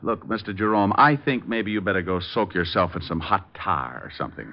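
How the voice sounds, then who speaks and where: An actor playing a weary, sardonic private detective in an old radio show, dry and flippant. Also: male voice, low-pitched, lively intonation, brisk. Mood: exasperated, flippant. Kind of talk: 1940s radio detective acting. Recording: dull old radio recording.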